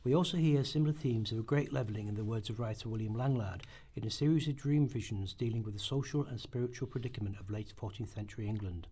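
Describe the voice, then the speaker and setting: A man's voice: lowish voice, fast, few pauses, mellow, husky. A man narrating a historical radio play at a rehearsal, reading from a script and occasionally stumbling over it. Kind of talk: scripted documentary-drama narration, read aloud.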